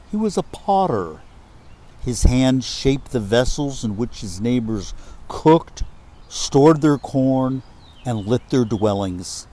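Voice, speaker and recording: Slow, mellow-voiced man reading a story. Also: medium pitch. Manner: measured, deliberate phrasing with pauses. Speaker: reader of a literary myth. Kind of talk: expressive storytelling, reading prose aloud.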